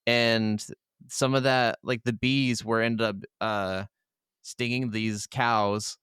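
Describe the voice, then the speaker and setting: A man's voice: mid-range voice, flat delivery, takes it slowly, fairly bright tone, clean tone, no room sound, clean studio sound. A man co-hosting a casual gaming podcast, talking in an enthusiastic, profanity-laced way. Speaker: podcast co-host.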